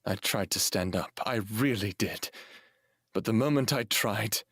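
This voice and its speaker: A man's voice: lowish voice, a little slow, fairly bright tone, slightly rough, no echo, clean studio sound, full character voice. A man playing a wounded, guilt-ridden narrator in an audio drama, speaking emotionally and confessionally. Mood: anguished confession. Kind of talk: audio drama acting with first-person narration.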